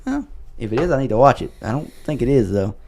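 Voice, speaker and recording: A man hosting a relaxed podcast conversation in a middle-pitched voice. His voice is wearing thin from long talking, and he rambles and corrects himself as he goes. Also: quick pace, creaky, a little hiss or hum. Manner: rambling, self-correcting, wraps up the show. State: losing his voice from talking a lot. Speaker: podcast host. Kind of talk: casual chat.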